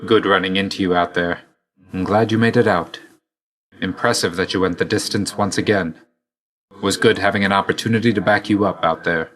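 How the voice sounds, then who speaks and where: A deep-voiced god of death for a video game. He is dutiful and reserved, with a measured, formal delivery. His manner stays understated, weary yet quietly fond. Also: steady tone. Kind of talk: video game character voice lines.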